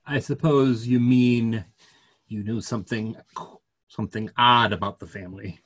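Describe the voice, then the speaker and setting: A man in his fifties playing a polite, inquisitive gentleman in a stage play reading, measured and questioning. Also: medium pitch, fairly even intonation, slightly rough, close to an everyday voice. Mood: polite curiosity. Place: dim home room on a video call.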